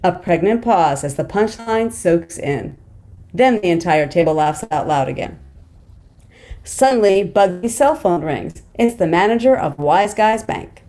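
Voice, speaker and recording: Mellow-voiced woman narrating a script. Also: on the low side, expressive, unhurried. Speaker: stage-direction narrator. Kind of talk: scripted narration at a table read.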